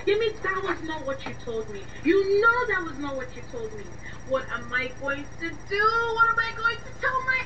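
A very high, pleading young woman's voice, acted by a woman with a Nigerian accent. She is panicky and breathless in a radio drama, heard a little far from a muffled mic. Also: big pitch swings, normal speed, hardly any pauses. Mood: distraught. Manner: breathless, pleading, repeating herself. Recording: muffled distant mic with faint noise.

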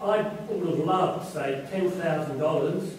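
A man, a former teacher in the audience, asking the panel a question in a loud, projecting voice. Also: a little high, a little slow. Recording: echoey hall, mic far off, noisy.

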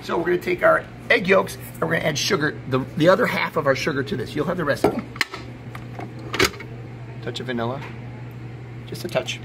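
High-pitched middle-aged man over kitchen noise. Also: a little fast, pauses often. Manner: chatty, emphatic, informal, often checking in with "okay?". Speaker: chef presenting a recipe video. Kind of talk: casual cooking demonstration. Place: indoor commercial kitchen or sandwich shop. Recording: clear background noise in working kitchen.

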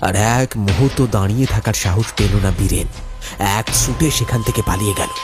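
A man's voice: fairly low pitch, brisk, over loud music. A man narrating a scripted horror story in a theatrical, suspenseful way.

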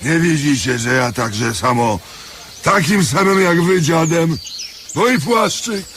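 An actor playing a cranky deaf beggar who scolds in curt, folksy speech in a radio comedy drama. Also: male voice, fairly high pitch, lively intonation, slow pace, fairly bright tone, slight background noise, heavily acted. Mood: cranky, defensive.

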